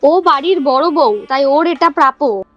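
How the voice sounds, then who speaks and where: A woman's voice: sits high, animated, unhurried, dull audio, faint music behind. A woman voicing a firm, fretful village mother protecting her daughters in a cartoon. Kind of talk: cartoon voice acting.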